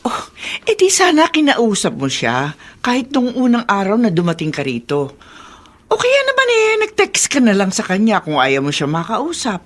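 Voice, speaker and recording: A woman's voice: medium pitch, wildly swooping pitch, moderate pace. An actress playing a worried mother who chides her grown daughter in a radio drama, in a motherly, reproachful way. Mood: chiding. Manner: chiding, motherly.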